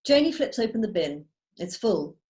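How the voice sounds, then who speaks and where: A woman reading out screenplay stage directions as measured, storytelling narration. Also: mid-range voice, big pitch swings, darkish tone. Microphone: video call.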